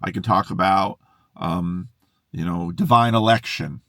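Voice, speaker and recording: A man's voice: low-pitched, unhurried, no room sound, clean studio sound. A middle-aged man, a pastor, talking loosely to a camera from inside a parked car, musing and often breaking off his own sentences. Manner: musing, self-interrupting, excitable. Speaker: pastor and video host. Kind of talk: unscripted monologue to camera.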